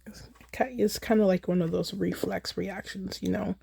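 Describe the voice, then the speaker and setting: An American woman chatting casually in a relaxed, rambling way as she hosts a crafting livestream, recorded on a phone in a holder. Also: medium pitch, normal speed, creaky, breathy edge, no room sound. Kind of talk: casual chat while crafting.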